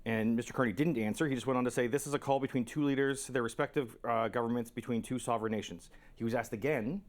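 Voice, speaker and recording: A man's voice: mid-range voice, fast talker. A man giving spontaneous political commentary as a panelist, informal and argumentative.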